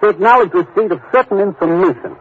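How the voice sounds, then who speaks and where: Brisk commissioner played by a man, higher-pitched, tinny. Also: close to an everyday voice. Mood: serious. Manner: brisk, authoritative, businesslike. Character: brisk, authoritative male commissioner briefing an agent. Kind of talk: 1950s radio drama acting. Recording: tinny noisy old radio recording.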